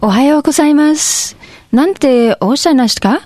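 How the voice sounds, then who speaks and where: A woman's voice: sits high, unhurried, darkish tone, no echo. A woman in a live radio play, playing a polite, persistent neighbour with a Japanese accent and short phrases. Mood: polite, helpful. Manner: short polite phrases, eager affirming interjections.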